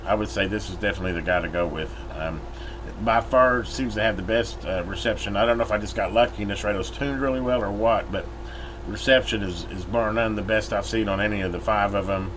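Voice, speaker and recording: A man's voice: on the low side, brisk. An American man giving a casual, unscripted product review, recorded inside a metal building. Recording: clear steady background noise.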